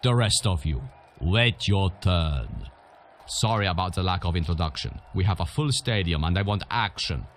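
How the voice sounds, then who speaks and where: An actor playing a gruff, hardened arena boss in a video game, bossy and blunt. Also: male voice, sits low, animated, normal speed, slight background noise. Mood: commanding. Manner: commanding, blunt. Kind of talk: video game character acting.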